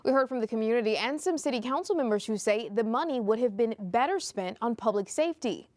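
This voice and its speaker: A fairly high-voiced American woman works as a news reporter. She reads her scripted report in a standard television news style. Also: expressive, fast, darkish tone.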